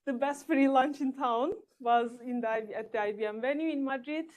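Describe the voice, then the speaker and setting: A woman from Turkey introducing herself on a panel at an event, in a friendly manner with frequent filler pauses. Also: high-pitched, darkish tone. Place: event stage in a large hall.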